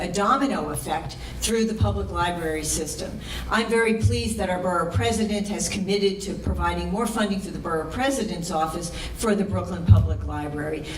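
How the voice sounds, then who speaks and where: A woman who is a political candidate answering firmly at a public debate in plain declarative statements. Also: fairly low pitch. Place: college auditorium public debate. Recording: echoey hall, distant mic, background noise.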